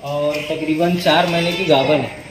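A man's voice: on the high side, fairly even intonation, a little slow. A man selling livestock, giving brief, matter-of-fact answers in casual talk. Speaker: livestock seller. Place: open-sided livestock shed. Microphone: camera a few steps away. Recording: very noisy, echoey shed, off mic.

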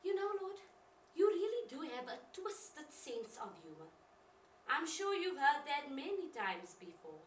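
A woman's voice: high voice, huge pitch swings, a little slow, full character voice. An actress performing a dramatic monologue as a fiery, rueful sister confessing her temper to God in colloquial, emphatic speech. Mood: tender, rueful. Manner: colloquial, emphatic, self-questioning. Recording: roomy, distant mic, faint noise.

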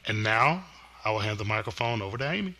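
Bright-voiced man hosting a webinar. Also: fairly low pitch, animated. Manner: measured, formal; stumbles on a product name. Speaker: webinar host. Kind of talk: reading a scripted introduction.

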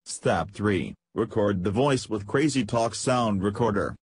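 A man reading a scripted, step-by-step software tutorial as a voice-over narrator. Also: on the low side, measured pace, husky, no room sound.

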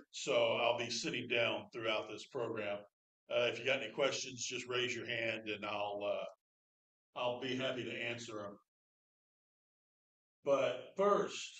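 A middle-aged man, giving a relaxed, loud informal lecture in a classroom with no microphone. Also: medium pitch, unhurried. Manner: loud, relaxed, folksy delivery. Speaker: park superintendent giving a training talk. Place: classroom with projector screen, indoors. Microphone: far camera, no microphone. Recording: echoey room, speaker far from mic.

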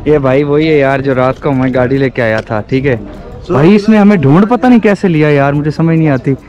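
A man's voice: a little high, brisk, darkish tone, highs cut off, faint music behind. Chatty young Pakistani man vlogging outdoors. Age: 20s. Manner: informal, chatty, addresses viewers as brother. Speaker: travel vlogger. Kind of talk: casual vlog narration to camera. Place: outdoors on streets and in gardens. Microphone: handheld action camera at arm's length.

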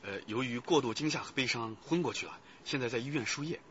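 Radio play guard male actor: bright, lively, hesitant and polite, muffled sound with some noise. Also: mid-range voice, quick pace, lightly acted. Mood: polite, subdued. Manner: placating, hesitant. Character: polite, anxious male hotel security guard. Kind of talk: naturalistic radio play acting. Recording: dull recording with background noise.

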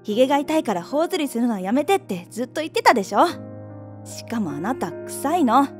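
A woman's voice: sits high, big pitch swings, a little fast. A woman voicing a female pet cat who is frank and teasing but devoted, in an audio drama. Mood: scolding. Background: soft background music.

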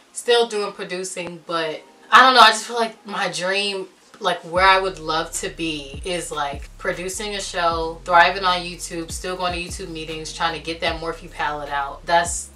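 A young woman with a deep, slightly raspy voice, chatting casually as she answers viewer questions in her bedroom. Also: recorded from a distance. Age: young adult. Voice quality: deep and a bit raspy at times. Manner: chatty, animated storytelling with lots of fillers. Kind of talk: casual chat. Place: small bedroom, indoors. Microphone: camera at a distance.

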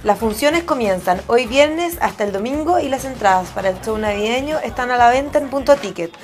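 A woman reads scripted news voice-over about upcoming events in a smooth, even way. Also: fairly high pitch, brisk, soft background music. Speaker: voice-over narrator.

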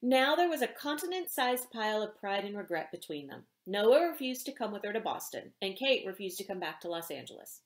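A middle-aged woman reads fiction aloud as its author. Her pitch moves in a lively way over a medium register. The room adds noticeable echo around her voice. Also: a little fast, hardly any pauses. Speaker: author reading from own novel. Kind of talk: expressive read-aloud narration of a romance novel. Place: indoor room with bookshelves. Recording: roomy indoor echo.

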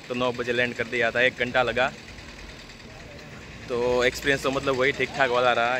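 A man in his thirties chatting casually as he shows a flight and an airport, filming with a phone at arm's length. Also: medium pitch. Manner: chatty, informal, guiding the viewer. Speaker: travel vlogger. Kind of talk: casual travel vlog narration. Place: inside a small plane, then outdoors at an airport. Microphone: phone camera at arm's length. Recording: noisy with engine and outdoor noise.

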